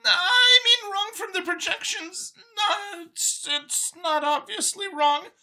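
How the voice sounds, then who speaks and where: A woman's voice: very high voice, takes it slowly, bright, forceful tone, pitched above the performer's own voice, full character voice, rough recording. A woman voicing a nervous, earnest female alien mouse scientist in an audiobook, with a flustered, eager way of talking. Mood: flustered, defensive. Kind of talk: acted audiobook character.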